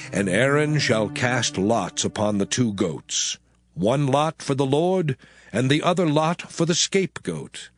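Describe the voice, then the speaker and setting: A man narrating scripture as a measured, expressive reading in archaic English. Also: medium pitch. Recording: music bed under the voice. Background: music.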